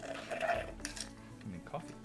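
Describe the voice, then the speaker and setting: A man chatting on a livestream, loud-voiced and animated, reacting to chat and rambling through opinionated stories. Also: takes it slowly. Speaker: streamer. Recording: music playing clearly behind voice. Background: music.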